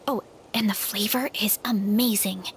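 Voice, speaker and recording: A woman voicing a cheerful young woman with a big appetite in a video game, talking in a bright, friendly way and laughing easily. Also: mid-range voice, fairly even intonation, moderate pace, a little hiss or hum, lightly acted. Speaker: game character showing guests an orchard. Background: soft game music.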